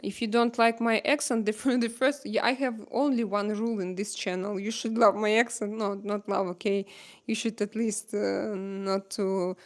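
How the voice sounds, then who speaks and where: A woman, not a native English speaker, hosting a live chat with viewers and speaking hesitantly with many pauses to find her words. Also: medium pitch, steady tone. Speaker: livestream host chatting with viewers. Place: home in Spain.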